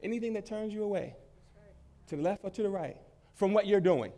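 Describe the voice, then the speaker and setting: A man preaching a sermon, emphatic, swinging between loud peaks and quieter stretches and repeating phrases for weight. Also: high voice, brisk. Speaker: pastor.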